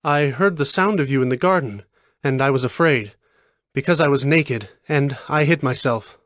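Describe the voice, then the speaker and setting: A man playing a fearful, ashamed first man, talking in a hurried, anxious way in a dramatized scripture reading. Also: medium pitch, a bit bright, no echo, studio quality, lightly acted. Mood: afraid.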